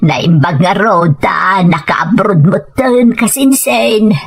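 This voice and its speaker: A woman playing an ailing older mother in a radio drama, weary, gentle and trusting. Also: medium pitch, takes it slowly, breathy edge. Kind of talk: Ilocano radio drama acting.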